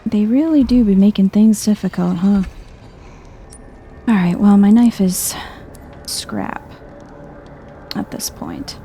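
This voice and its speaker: A woman speaks softly and slowly in a mellow, relaxed voice as she comments on a video game. Her delivery is chill and low-key, close to ASMR, murmured as if to herself. There is noticeable vocal fry in her voice. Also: faint music behind. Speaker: gaming video host. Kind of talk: soft-spoken ASMR gameplay commentary.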